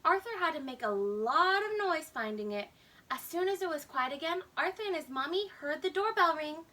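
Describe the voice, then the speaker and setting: A woman reads a children's story as a storytime host. Her voice is high and bright with very animated intonation, recorded slightly away from the microphone. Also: moderate pace, far from the mic.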